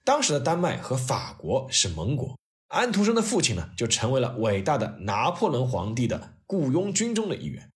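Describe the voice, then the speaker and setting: A man tells a scripted story as a narrator. His mid-pitched voice moves widely up and down in intonation. The delivery is expressive and conversational, in the manner of a storyteller. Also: normal speed. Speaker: host narrator of history stories.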